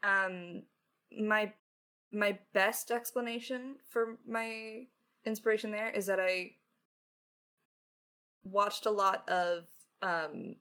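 A talkative woman speaking as a podcast writer in a casual Q&A, reflective and halting, with self-deprecating asides. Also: mid-range voice, fairly even intonation, bright, forceful tone. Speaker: podcast lead writer answering questions. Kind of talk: casual creator Q&A.

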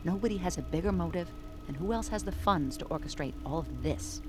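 A woman's voice: on the low side, lively intonation, brisk, music in the background, lightly acted. Determined, terse female investigator, acted by an actress. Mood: accusing, resolute. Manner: direct, insistent. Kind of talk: audio drama acting.

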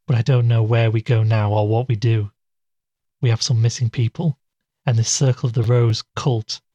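An actor playing a weary, subdued male investigator, recounting a mystery in a measured, reflective audio drama monologue. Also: medium pitch, mellow, slightly rough, dry recording, highs cut off, close to an everyday voice. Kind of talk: audio drama monologue, recorded-log narration.

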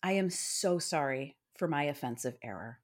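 Casting: woman in her thirties, podcast co-host, chatty and self-deprecating, lowish voice that swings a lot. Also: animated, normal speed. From Iowa. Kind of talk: casual true-crime podcast chat.

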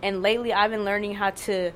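A young woman with a fairly monotone voice, talking candidly and casually, swearing and using lots of fillers. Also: medium pitch, quick pace, slight background noise. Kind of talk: casual conversation.

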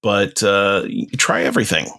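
A man's voice: fairly low pitch, very animated, normal speed, creaky, bright, forceful tone. A man co-hosting a podcast in casual chat, hesitant and full of fillers. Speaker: podcast co-host.

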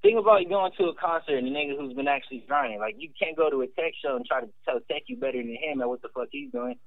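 A man guesting on the interview talks fast in a gravelly, lively voice that swings up and down, blunt and emphatic. The sound is thin and phone-like, with the room kept dry. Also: medium pitch, no room sound. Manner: blunt, emphatic, profane, animated. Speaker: rapper guest. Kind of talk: casual interview talk.

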